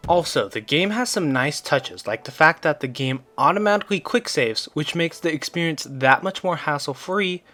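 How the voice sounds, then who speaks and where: A man with a mid-pitched voice narrates a scripted review. He explains things in a measured, orderly way and states his opinions plainly, as a video reviewer does. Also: bright, forceful tone.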